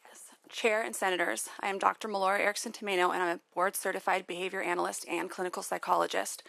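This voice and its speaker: A woman's voice: mid-range voice, little pitch movement, fast, creaky, a bit bright, no echo. A woman, a school principal and psychologist, giving formal testimony at a hearing.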